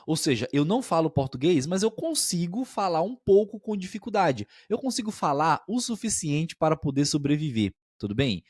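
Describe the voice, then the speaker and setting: A man's voice: fairly high pitch, big pitch swings, normal speed, few pauses, a little mellow, no room sound, studio quality. A man co-hosting a podcast as a language teacher, explaining expressions patiently and often checking that listeners follow.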